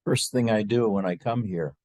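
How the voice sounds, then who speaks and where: A man leading a guided meditation as a spiritual teacher, speaking to a group in a slow, halting, unscripted way with long pauses. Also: on the low side, a little mellow, no echo.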